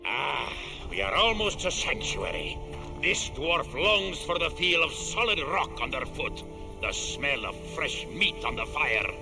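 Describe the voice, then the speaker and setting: A proud dwarf warrior in a fantasy game. His voice is gravelly and gruff, rolling slowly and expressively over background music. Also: a little high, sing-song, bright, forceful tone, heavily acted, poor audio. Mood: hearty, proud. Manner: gruff, blustering.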